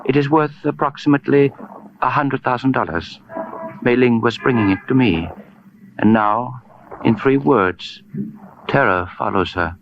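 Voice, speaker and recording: An actor playing a courteous Chinese gentleman in a radio drama, speaking formally and deliberately in ornate phrases. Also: mid-range voice, close to an everyday voice. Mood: grave, courtly. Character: courteous, florid Chinese gentleman, an old friend. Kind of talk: 1950s radio drama acting. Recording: muffled old radio recording, faint noise.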